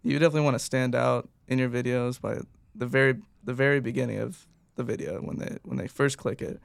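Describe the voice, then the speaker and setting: A man's voice: medium pitch, steady tone, fast talker, creaky, no room sound, studio quality. A young man who is a personal trainer, answering interview questions in an earnest, agreeable way. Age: young adult. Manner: earnest, agreeable, frequent "yeah".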